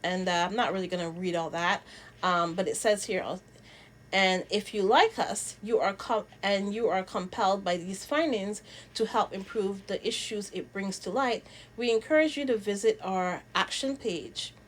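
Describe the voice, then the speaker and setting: A woman from Barbados presents casual commentary as a channel host. She speaks at a middling pitch in a halting manner, restarting phrases and repeating words as she makes her points or reads aloud. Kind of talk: casual vlog commentary, reading statements aloud.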